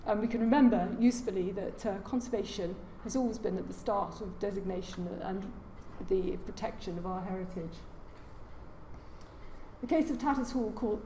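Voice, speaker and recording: A soft-spoken woman giving a formal lecture as a guest speaker, with frequent hesitations and fillers. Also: mid-range voice. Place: large lecture room. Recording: echoey lecture hall, faint noise.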